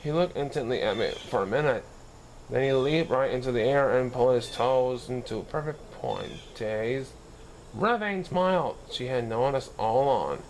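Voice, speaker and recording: A man's voice: medium pitch, darkish tone, a little hiss or hum, poor audio. A man reading a children's story aloud as narration, now and then stumbling and correcting himself. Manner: occasional stumbles and self-corrections while reading. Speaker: story reader.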